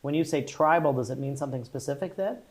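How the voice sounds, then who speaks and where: Interviewer guy, slightly higher voice, chatty, keeps nudging with questions. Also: fast talker. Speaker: podcast host, interviewer. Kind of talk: casual interview chat.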